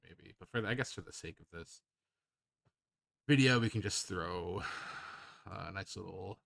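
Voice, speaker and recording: A man hosting a casual software tutorial, talking in an improvised, rambling and hesitant way. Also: low voice, a little slow, vocal fry, no echo, studio quality. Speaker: tutorial host.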